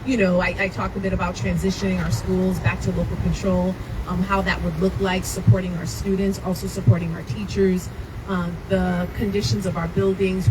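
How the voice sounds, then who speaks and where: Non-native woman candidate fielding press questions, earnest with fillers, recorded from afar outdoors by traffic. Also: on the low side. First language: not English. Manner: earnest, many fillers and restarts. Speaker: mayoral candidate. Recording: loud noise, far from the mic.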